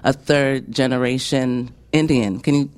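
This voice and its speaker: A woman's voice: extremely low pitch, steady tone, unhurried, darkish tone, clean tone. A woman hosting a radio music show, chatty and enthusiastic as she talks with her guests. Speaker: radio host. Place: radio studio.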